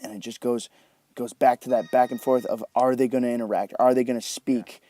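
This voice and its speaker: A man's voice: medium pitch, steady tone, fairly quick, dark tone, faint music behind. A man giving enthusiastic film scene commentary as a host, with emphatic, dramatic phrasing.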